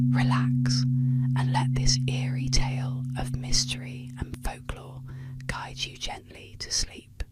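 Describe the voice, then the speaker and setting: A woman narrating eerie legends as scripted bedtime ASMR, whispering slowly and soothingly close to the microphone. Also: on the high side. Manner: slow, soothing bedtime delivery. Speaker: ASMR storyteller.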